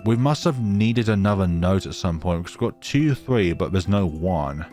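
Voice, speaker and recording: A man's voice: deep voice, animated, normal speed, a little mellow, faint music behind. A man hosting a gaming video, reading a visual novel aloud dramatically with casual, wry commentary.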